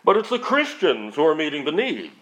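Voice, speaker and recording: A man's voice: fairly high pitch, huge pitch swings, fairly quick, a bit bright. A man who works as a missionary answers audience questions in an earnest, conversational way, often restarting his sentences as he goes. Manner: rambling, frequent restarts. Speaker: missionary speaker. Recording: dull lo-fi audio.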